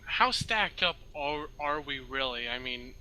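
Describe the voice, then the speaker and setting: A man's voice: medium pitch, animated, a little slow, pushed and bright, clean tone, rough recording. A man speaking casually as a player in a roleplaying game. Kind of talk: casual chat.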